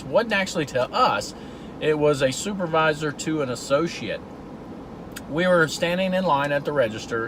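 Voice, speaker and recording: Middle-aged male vlogger, earnest storyteller, in-car recording with road noise. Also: mid-range voice. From Georgia, USA. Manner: earnest, storytelling, with repeated restarts. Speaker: vlog host. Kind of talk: casual talk while driving.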